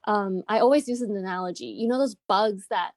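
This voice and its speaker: A woman whose first language is Chinese, talking casually as an interview guest, relaxed, with fillers and frequent laughs. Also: medium pitch, fairly quick, no echo, clean studio sound. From China. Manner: easygoing, many fillers, laughs often. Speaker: guest, business co-founder.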